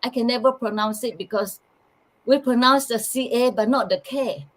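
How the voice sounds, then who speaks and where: A woman from Sarawak in Malaysian Borneo with a Malaysian accent, a channeler talking softly and simply as the interview guest. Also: fairly high pitch, normal speed. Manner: soft-spoken, simple phrasing, light laughs. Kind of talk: casual interview.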